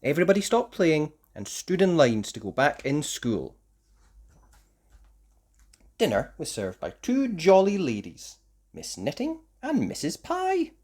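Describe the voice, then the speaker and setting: Brief: storybook narrator for young children, expressive and animated, with wide melodic swings. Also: mid-range voice, unhurried. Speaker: children's story reader, host.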